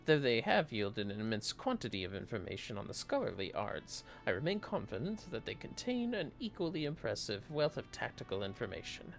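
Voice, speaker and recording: A man's voice: mid-range voice, sing-song, fairly quick, gravelly, hardly any pauses, soft background music. A man voicing an earnest, humble scholarly mentor in a fantasy game, with formal, ornate phrasing. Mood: warm pride.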